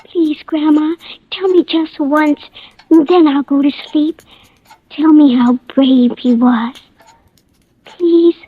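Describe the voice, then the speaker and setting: A very high-pitched little girl in an old radio play, anxious and pleading. She sounds wistful and insistent, on a muffled vintage recording. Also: slow pace, long pauses. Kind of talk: 1940s radio acting.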